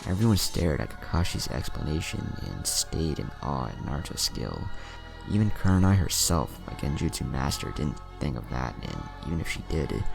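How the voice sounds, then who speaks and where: A man's voice: extremely low pitch, very animated, moderate pace, gravelly, low-quality recording. A man narrating scripted fan fiction, acting out dialogue along the way, with music behind him.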